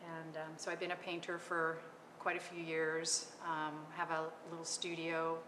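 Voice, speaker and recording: Middle-aged woman, low voice, lots of ums, panel talk in a boomy gallery. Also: monotone, normal speed. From California. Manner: hesitant, many ums. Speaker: panel artist. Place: art gallery. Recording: echoey gallery with long reverb and noise.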